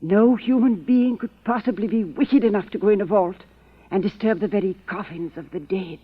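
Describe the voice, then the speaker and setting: Actress as husky grieving mother, tinny old radio. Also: mid-range voice, moderate pace, close to an everyday voice. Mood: grieving, pleading. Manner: pleading, polite. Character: grieving, anxious, genteel mother of colonial family. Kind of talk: old-time radio drama acting.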